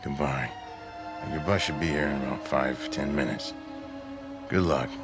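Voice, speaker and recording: An actor playing a steady, apologetic small-town sheriff in a radio drama. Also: male voice, a bass voice, measured pace, over loud music. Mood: apologetic, polite.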